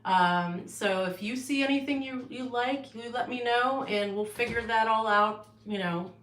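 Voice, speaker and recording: A woman's voice: fairly high pitch, a little slow, fairly bright tone, echoey room. A woman artist casually showing her paintings on a livestream, rambling with many fillers and restarts, in her home art studio.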